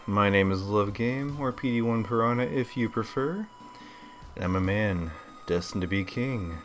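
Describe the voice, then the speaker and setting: A man's voice: lowish voice, normal speed, slight background noise. A man giving casual, rambling game commentary as a let's play host, laid-back and slangy.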